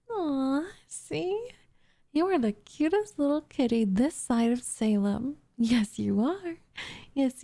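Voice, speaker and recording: A woman's voice: high voice, mellow, exaggerated voice. A woman voicing a doting, possessive witch in an intimate ASMR roleplay, cooing and teasing with smug condescension, often slipping into a whisper close to the microphone. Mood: cooing, adoring. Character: doting, possessive young witch turning captive into pet cat.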